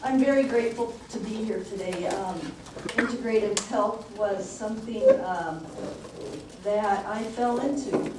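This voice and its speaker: A woman in her sixties with a soft voice, telling a personal story in a casual, conversational talk to a church audience. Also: medium pitch, measured pace. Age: 60s. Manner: conversational, personal anecdotes with filler "um". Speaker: nurse practitioner speaking as guest presenter. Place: church hall. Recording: echoey hall from far off, background noise.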